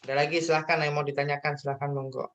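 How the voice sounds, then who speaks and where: A man's voice: a little high, little pitch movement, racing, a bit bright. A man lecturing in an informal, chatty way, often checking in with questions during an online class discussion.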